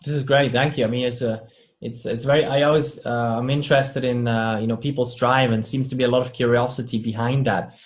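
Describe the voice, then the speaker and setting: Podcast host guy, excited, lots of ums and uhs, long winding questions. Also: mid-range voice, a little fast. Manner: enthusiastic, many fillers, rambling questions. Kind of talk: interview hosting.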